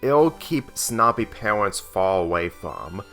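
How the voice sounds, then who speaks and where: Casual, cool teenage girl read by a low-voiced man. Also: big pitch swings, slow, sharp tone, full character voice. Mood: eager. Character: cool, protective teenage girl in fan fiction. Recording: music under the voice.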